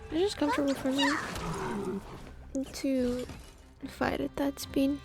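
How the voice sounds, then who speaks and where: A high-voiced woman gives casual live game commentary at an unhurried pace. She talks to herself in short, playful reactions, with game music clearly behind her. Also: flat delivery. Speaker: game streamer.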